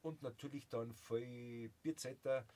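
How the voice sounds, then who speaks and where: A man's voice: medium pitch, steady tone, unhurried, warm tone. Jovial Bavarian man, laughing often. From Bavaria, Germany. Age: around 50. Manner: jovial, laughs often, many fillers and restarts. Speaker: musician guest. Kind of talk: casual interview chat. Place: small home recording studio, indoors.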